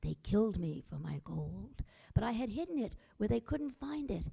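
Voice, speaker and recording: A woman voicing a headless male skeleton ghost with a low, slow, drawn-out spooky voice, using puns and speaking solemnly. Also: sing-song, creaky, dark tone, husky, heavily acted. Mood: mournful.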